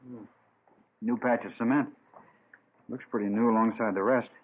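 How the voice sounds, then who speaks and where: An actor playing a brisk, plain-spoken police searcher in an old-time radio drama. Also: male voice, mid-range voice, steady tone, husky, lightly acted. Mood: alert. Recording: muffled tinny vintage radio.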